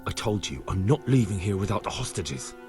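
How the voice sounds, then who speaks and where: An actor playing a stubborn, earnest young hero in a medieval video game, speaking bluntly and with determination. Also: male voice, lowish voice, lively intonation, brisk, music in the background, close to an everyday voice. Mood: determined. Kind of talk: dramatic video game cutscene acting.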